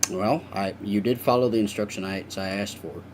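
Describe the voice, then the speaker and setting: A man's voice: fairly low pitch, steady tone, moderate pace, darkish tone, a little hiss or hum. A young man chatting casually with viewers on a live stream while he works, rambling and swearing now and then.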